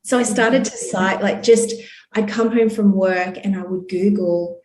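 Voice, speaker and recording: A woman's voice: mid-range voice, steady tone, unhurried, a little mellow. A woman in her thirties with an Australian accent, chatting as a podcast guest in an upbeat way, full of "you know" and "like". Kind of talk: casual interview storytelling. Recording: roomy echo.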